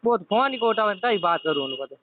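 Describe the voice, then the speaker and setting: A man's voice: sits high, sing-song, fast talker. A man with a Rajasthani accent chatting casually on a private phone call. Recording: tinny phone line with background noise.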